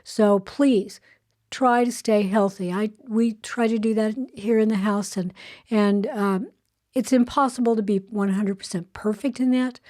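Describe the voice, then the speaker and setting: An older woman with a soft voice hosting a casual spoken segment, chatting with frequent fillers and restarts. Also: mid-range voice. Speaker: show host. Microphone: earbuds headset.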